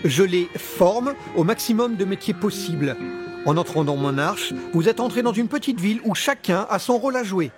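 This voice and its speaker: An actor playing a suave, grandiose doctor villain in an audio drama, speaking in a formal, measured, persuasive way. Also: male voice, high voice, animated, music in the background. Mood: persuasive, grave. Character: suave, grandiose male doctor villain ruling a survivors' ark. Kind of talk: scripted audio drama acting.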